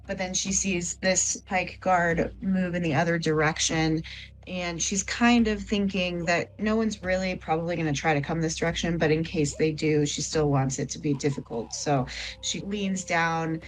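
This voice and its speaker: A woman's voice: lowish voice, fairly even intonation, moderate pace, a little hiss or hum, rough recording. A woman playing a tabletop game, chatty and rambling as she describes her character's actions. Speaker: player. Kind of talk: casual tabletop play.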